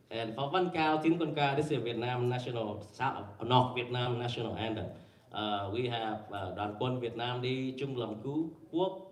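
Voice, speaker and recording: A man presenting at a conference panel, talking haltingly with many fillers and restarts and quizzing the audience. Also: medium pitch. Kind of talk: academic presentation with slides. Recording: echoey room, mic far away.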